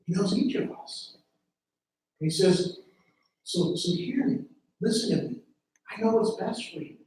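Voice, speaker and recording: Man, pastor, earnest sermon delivery, stumbles and repeats openings; heard from afar, boomy reverberant room. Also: expressive, measured pace, darkish tone, slight background noise. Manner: earnest, repeats words when starting phrases. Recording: very echoey room from a distance.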